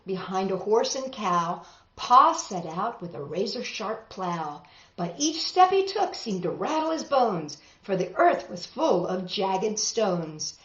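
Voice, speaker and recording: Animated, slow-paced woman reading to children. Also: medium pitch. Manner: expressive, exclaiming, lingering on words. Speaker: storytime host reading picture books aloud. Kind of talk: animated children's read-aloud with chatty asides. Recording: dull, echoey room recording.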